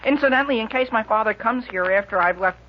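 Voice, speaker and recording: A man's voice: high voice, quick pace, close to an everyday voice. A man playing an earnest, apologetic teenage boy with a youthful, cracking voice in a 1940s radio comedy. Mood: eager, flustered. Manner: earnest, exclaiming. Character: eager, apologetic teenage boy, the hapless son. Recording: dull, noisy 1940s radio recording.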